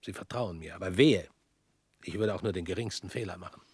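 An actor playing a humbled former prosecutor in a radio play, with a husky voice and an earnest manner. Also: male voice, sits low, fairly quick, darkish tone, no echo, clean studio sound.

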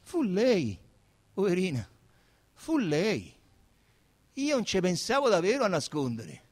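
A man's voice: high-pitched, wildly swooping pitch, takes it slowly, husky, exaggerated voice. An actor playing a pleading young man with a Roman accent under police questioning, agitated and insistent, in a theatrical radio drama. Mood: imploring.

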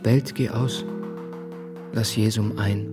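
A low, very slow male believer, recites with hushed reverence. He is tender and solemn, in a radio play with music behind. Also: fairly even intonation. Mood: devout, tender. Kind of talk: radio drama poetic recitation.

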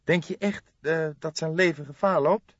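A man acts out a young police detective from a crime audiobook. The voice is pushed up high and sounds weary and questioning, a slightly exasperated junior partner. The recording is dry and somewhat dull. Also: moderate pace, no echo, close to an everyday voice. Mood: tense, questioning. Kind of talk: audiobook character dialogue.

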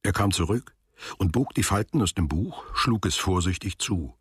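A man's voice: low voice, lively intonation, normal speed, breathy edge, no room sound, studio quality. A man reading literary prose as the scripted narrator of a radio drama.

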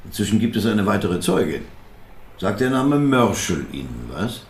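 A persistent investigator in a radio drama. His fairly low voice moves widely in pitch as he questions in a measured, probing manner. The room echoes around him. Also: sing-song, a little hiss or hum. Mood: businesslike. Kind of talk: naturalistic radio drama acting. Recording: echoey room with faint background ambience.

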